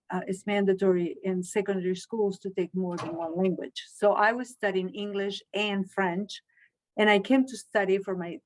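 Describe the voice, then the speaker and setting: A middle-aged woman from Chile, a Spanish teacher answering interview questions in a measured, earnest way with frequent restarts. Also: mid-range voice, poor audio.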